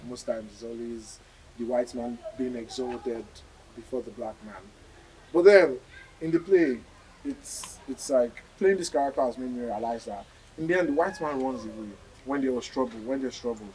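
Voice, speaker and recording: A young man with a Nigerian accent answering interview questions as a student actor, haltingly and repeating himself. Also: mid-range voice, a little mellow, a little hiss or hum, low-quality recording. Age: young adult.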